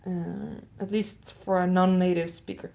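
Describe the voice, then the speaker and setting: A woman with a Swedish accent hosts a solo audio show in an easy, improvised way, thinking out loud and asking her listeners questions. Her voice is dark and mellow, with a gravelly fry running through it. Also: lowish voice, level tone, a little slow. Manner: chatty, musing aloud with curious asides to listeners. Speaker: host of an audio advent show. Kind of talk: improvised solo radio-style talk.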